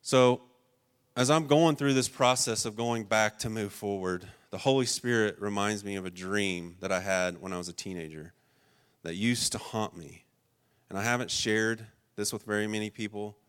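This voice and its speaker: A man delivers a sermon in a fairly low voice. He talks earnestly and emphatically, with louder bursts on key points and softer confiding asides. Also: clean tone. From rural Ohio. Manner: earnest and emphatic, swinging between raised stresses and quiet personal asides. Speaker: pastor.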